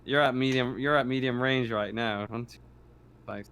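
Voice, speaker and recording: A man talking as game master of a casual tabletop game, halting and repeating phrases as he narrates. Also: mid-range voice, steady tone, a bit bright, smooth.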